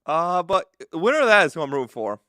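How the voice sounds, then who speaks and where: A man's voice: fairly high pitch, very animated, fast, pushed and bright, no echo, clean studio sound. A young man co-hosting a casual sports chat, joking around in a goofy, offhand, playfully naive way. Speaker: podcast co-host.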